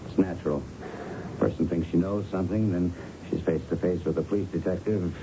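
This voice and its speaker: A probing police lieutenant in a vintage radio play. His low voice is measured and coaxing, heard through a dull broadcast recording with clear noise. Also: steady tone. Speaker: radio drama actor. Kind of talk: 1970s radio drama acting.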